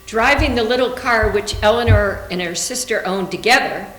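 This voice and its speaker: A woman's voice: mid-range voice, moderate pace, fairly bright tone. A woman reading scripted literary narration aloud for a readers theater. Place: indoor room, live library reading. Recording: echoey room with faint hum.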